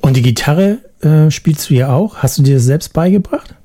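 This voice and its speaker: A man interviewing a musician, asking calm questions and giving short murmurs of agreement. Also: fairly high pitch, moderate pace.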